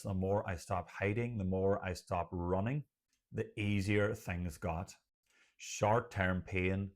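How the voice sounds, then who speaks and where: An Irish man, a doctor who stutters, speaking earnestly to camera about his experience in a personal vlog. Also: low-pitched. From Ireland. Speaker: doctor and stuttering coach, channel host.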